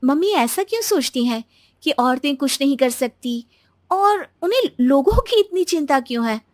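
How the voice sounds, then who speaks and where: A gentle, determined young wife, played by a woman in an animated family tale. She speaks in a high, clean-set voice with no room sound, coaxing and respectful as she makes her case. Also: normal speed, no room sound, clean studio sound. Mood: earnest. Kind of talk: animated Hindi moral-story voice acting.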